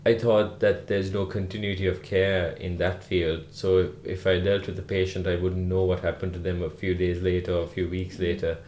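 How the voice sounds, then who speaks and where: A man from Sri Lanka, a rural GP, answering podcast interview questions in an unhurried way with many "uh" fillers. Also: deep voice, level tone, darkish tone, echoey room, slight background noise. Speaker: guest, rural GP.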